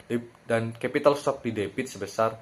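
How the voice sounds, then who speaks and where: A young man giving an explanatory class presentation as a university student, in a slightly halting way with self-corrections. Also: medium pitch, a little hiss or hum. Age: young adult.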